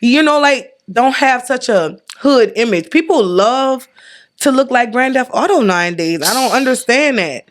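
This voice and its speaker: Animated, slangy woman in her thirties. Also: on the high side, moderate pace. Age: 30s. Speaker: guest host. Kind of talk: casual podcast chat. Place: indoor podcast set, drinking.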